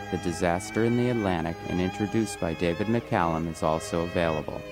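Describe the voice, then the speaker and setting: A male announcer with a very low voice delivers a scripted closing read. He is formal and orderly, as if for end credits, while violin music plays clearly underneath.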